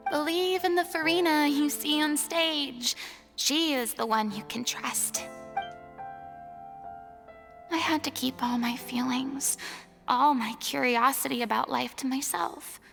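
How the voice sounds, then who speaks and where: High, creaky, guarded young stage idol. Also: female voice. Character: Furina, guarded, dramatic young woman, former stage idol. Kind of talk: anime game voice acting. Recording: music behind the voice. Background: game music.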